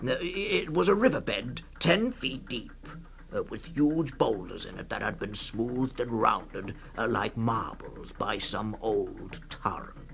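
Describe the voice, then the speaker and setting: Male storyteller, 1960s radio drama, very creaky, slow, hesitant pauses, grave, faint music behind. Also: on the low side, animated, a bit bright, a little hiss or hum, heavily acted. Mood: grave, halting. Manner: halting, full of hesitant uhs and pauses. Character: hesitant male storyteller recounting a grim desert tale.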